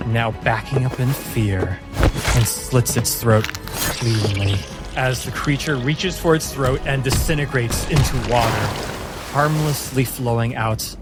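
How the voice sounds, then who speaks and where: A man narrating a tabletop fantasy combat as game master, in a deliberate, vivid storytelling manner. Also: mid-range voice. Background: music.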